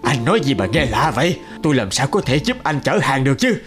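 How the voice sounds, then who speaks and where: A man's voice: medium pitch, big pitch swings, quick pace, soft background music, lightly acted. An actor voicing a snooty male horse proud of his noble birth, haughty and dismissive, in a cartoon fable. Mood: disdainful.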